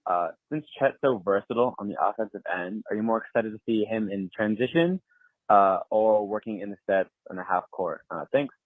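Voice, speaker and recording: A man's voice: fairly low pitch, brisk, no room sound. A man leaving a casual listener question as a voicemail for a sports show. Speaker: voicemail caller. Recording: dull lo-fi voicemail message.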